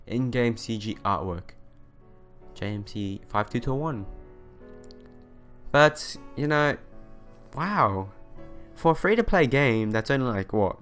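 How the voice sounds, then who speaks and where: A man's voice: mid-range voice, lively intonation, moderate pace, long pauses, a bit bright. A young man playing a visual novel for viewers, reading aloud and commenting in an informal, earnest way. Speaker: let's player. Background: game music.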